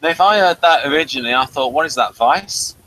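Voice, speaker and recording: A man hosts a casual review show in a bright, slightly high voice. He rambles and drops in jokey asides. There is noticeable background noise, like traffic ambience, behind him. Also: expressive, quick pace, poor audio. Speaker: host of an audio drama review show.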